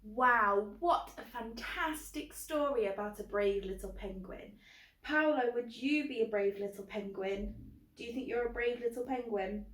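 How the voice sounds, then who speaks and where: A woman's voice: on the high side, measured pace, a bit bright. A woman presenting a children's book club in an encouraging way, recorded with a roomy echo. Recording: roomy, echoing sound.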